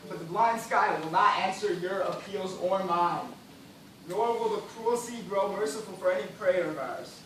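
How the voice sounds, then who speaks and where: An actor playing a weary, formal gentleman castaway in an amateur stage play, declaiming with stubborn reason and despair. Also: high voice, normal speed. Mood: grim, reasoning. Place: theatre stage, recorded from audience. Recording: echoey stage, distant mic, noisy.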